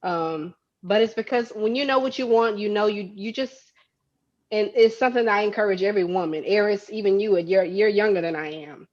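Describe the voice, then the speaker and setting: A woman in her forties, an interview guest talking candidly in an earnest, reflective way, sometimes starting with halting restarts. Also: mid-range voice, a little mellow, dull audio. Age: 40s. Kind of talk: candid conversation.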